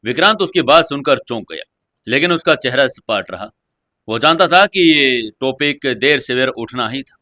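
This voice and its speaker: A man's voice: mid-range voice, animated, normal speed, bright, forceful tone, no room sound. A man narrating a scripted audio story in a dramatic storytelling style, close on the mic.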